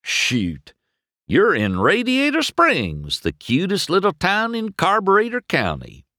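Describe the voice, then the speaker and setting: A rusty old tow truck with a big rural Southern drawl. He is friendly, none too bright and folksy, his pitch leaping up and swinging widely in a dry, close storybook recording. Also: huge pitch swings, slow, dry recording, full character voice. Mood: friendly, amused. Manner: folksy, eager, singsong. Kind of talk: audiobook character voice.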